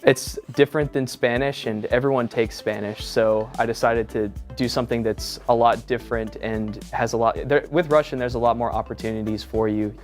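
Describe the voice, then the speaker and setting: A man's voice: medium pitch, steady tone, normal speed, few pauses. A man, speaking as a student, giving earnest interview answers. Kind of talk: interview soundbite. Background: music bed.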